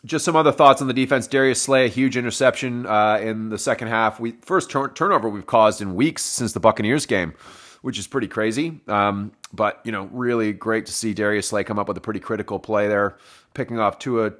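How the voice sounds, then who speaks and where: A man reviewing a football game on his own, hoarse and wheezy, coughing and correcting himself as he talks to his listeners. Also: fairly low pitch, a little fast, fairly bright tone. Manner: rambling, self-correcting, addresses listeners as folks. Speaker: sports podcast host.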